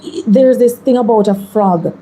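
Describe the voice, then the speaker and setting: Measured Jamaican woman politician. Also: on the high side, dark tone, slight background noise, poor audio. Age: 30s. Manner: measured, deliberate, articulate. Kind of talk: interview answers.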